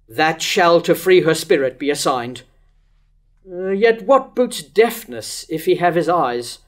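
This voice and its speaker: Older man acting lovesick king, declamatory, rhetorical, tormented, verse tragedy stage reading, fairly high pitch. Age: older, about 60s to 70s. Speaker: actor reading Herod in a staged play reading. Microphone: stand microphone at a lectern.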